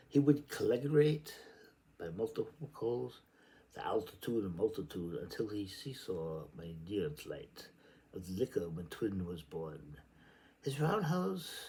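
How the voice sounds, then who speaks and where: A man giving a theatrical, halting reading of literary prose, repeating words and shifting between murmurs and sudden emphasis. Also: deep voice, vocal fry, mellow, low-quality recording. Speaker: reader. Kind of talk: dramatic amateur literary reading aloud.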